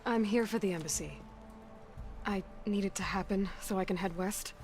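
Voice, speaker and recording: A woman plays a young video game heroine with a medium-pitched voice. She is direct, impatient and sardonic, set on getting her way. Music plays clearly behind her. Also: moderate pace, a little mellow, natural voice. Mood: determined. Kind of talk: video game cutscene acting.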